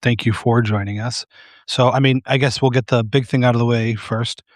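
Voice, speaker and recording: A man's voice: medium pitch, steady tone, normal speed, dry recording, clean studio sound. A man hosting a casual live interview on a video call, rambling and self-deprecating with many fillers. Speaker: host.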